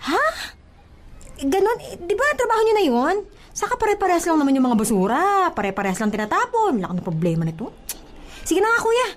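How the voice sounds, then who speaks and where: A woman's voice: sits high, very animated, quick pace. A woman playing a feisty, playful young housemaid in a Filipino radio comedy-drama, girlish, theatrical and exclamatory. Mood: sassy, dismissive. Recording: music behind the voice.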